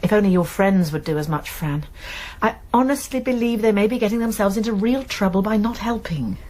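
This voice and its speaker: A woman with an English accent playing a concerned, sensible college nurse in a naturalistic radio drama, measured and articulate. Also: medium pitch, darkish tone, close to an everyday voice. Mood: earnest, urging. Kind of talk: naturalistic British radio drama acting, with narration.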